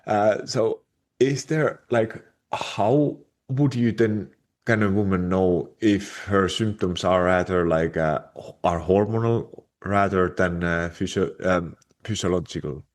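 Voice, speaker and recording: Low-voiced Finnish-accented man host. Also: takes it slowly. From Finland. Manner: hesitant, many fillers and restarts. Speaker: podcast host. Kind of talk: podcast interview questions.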